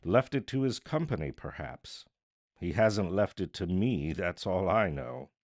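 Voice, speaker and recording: A man voicing a great fat, idle gentleman in a storybook, offhand and callously jocular. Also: deep voice, expressive, unhurried, no room sound, clean studio sound. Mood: bored, flippant.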